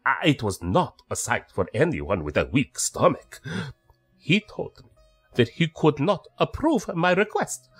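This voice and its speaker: Man playing a husky, swooping, formal foreign spellcaster. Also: a little high, very animated, unhurried, soft background music, heavily acted. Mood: indignant. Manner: formal, precise wording. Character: proud, aggrieved immigrant male spellcaster, formal and polite. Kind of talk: audio drama acting.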